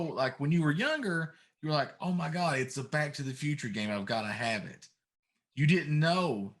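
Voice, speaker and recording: Middle-aged man, talk show host, medium pitch, emphatic griping, casual chat. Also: a bit bright. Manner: ranting, emphatic complaining. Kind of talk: casual chat about video games.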